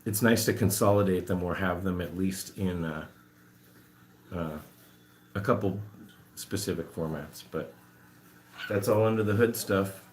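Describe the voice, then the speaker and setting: A man's voice: sits low, measured pace, long pauses, a little hiss or hum. A man in his forties chatting casually to live-stream viewers at home, rambling and self-amused while he does chores around his guinea pigs. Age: 40s. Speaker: animal rescuer streaming to viewers.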